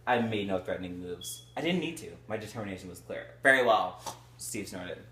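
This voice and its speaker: A man reading a novel aloud for friends, shouting the characters' lines and breaking into crude jokes and laughter. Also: lowish voice, expressive, a little fast, reverberant, slight background noise. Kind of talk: comedic group read-through with crude asides.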